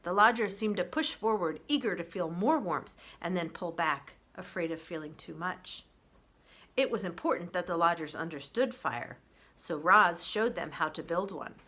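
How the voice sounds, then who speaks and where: A woman reading a children's story aloud in an expressive storybook narration style, as a librarian would. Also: lowish voice, normal speed.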